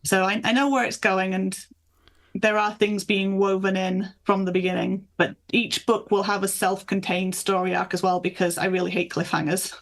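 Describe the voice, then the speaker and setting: A woman's voice: mid-range voice, flat delivery, normal speed. Chatty woman in a casual interview. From north of England and Michigan, USA. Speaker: author being interviewed.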